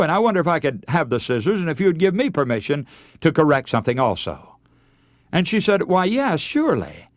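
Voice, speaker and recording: A man's voice: medium pitch, dry recording, studio quality. An older man preaching a radio sermon, telling stories in an earnest way and calling his listeners friends.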